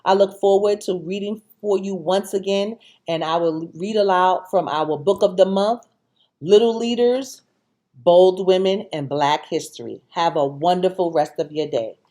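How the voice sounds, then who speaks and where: A woman hosting a read-aloud for children, reading deliberately and speaking directly to her young listeners. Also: on the low side, dark tone.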